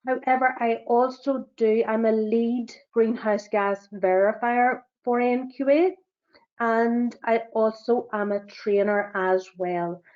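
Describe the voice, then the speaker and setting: Fast-talking Northern Irish woman presenter. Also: a little high, steady tone. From Northern Ireland. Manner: fast-talking, with frequent fillers. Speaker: sustainability assessor and webinar presenter. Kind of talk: presenting a business webinar. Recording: dull, lo-fi webinar audio.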